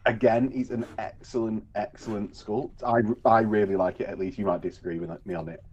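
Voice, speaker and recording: Husky low-voiced man, lo-fi sound. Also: brisk. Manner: relaxed, hesitant, repeats words. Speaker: co-host. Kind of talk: casual hobby chat.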